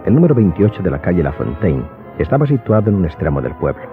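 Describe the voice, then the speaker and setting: A man's voice: low-pitched, big pitch swings, fast. A man narrating a scripted audio drama as its storyteller. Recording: muffled, band-limited audio with faint music.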